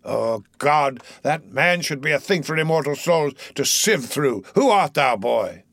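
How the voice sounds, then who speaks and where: A man's voice: a little high, lively intonation, measured pace, gravelly, a bit bright, no room sound, studio quality, voice pushed up, exaggerated voice. A man voicing a mad, brooding old sea captain in an audiobook, declaiming grandly in archaic speech. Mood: stern.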